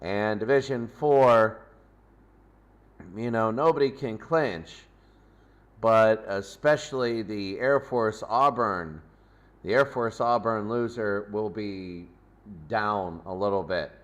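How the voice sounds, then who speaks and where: A man's voice: fairly low pitch, slow pace. Man hosting a loose sports pregame show. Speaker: sports host.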